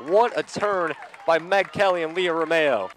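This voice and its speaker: A man calling a softball game live on the radio, measured between plays and ready to burst into excited calls. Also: a little high, some chatter behind, a little hiss or hum. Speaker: play-by-play sports commentator.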